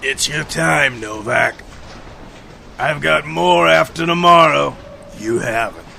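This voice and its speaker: Very gravelly, gruff police detective, acted by a man. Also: fairly high pitch, slow pace, bright, forceful tone, slight background noise, heavily acted. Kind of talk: old-time radio drama acting.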